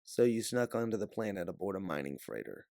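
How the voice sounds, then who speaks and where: A man with a deep, gravelly voice reads a sci-fi adventure story. His delivery is theatrical and measured, with lively swings in pitch. The recording is close and dry. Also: no room sound. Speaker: audiobook narrator. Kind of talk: dramatic second-person sci-fi story narration.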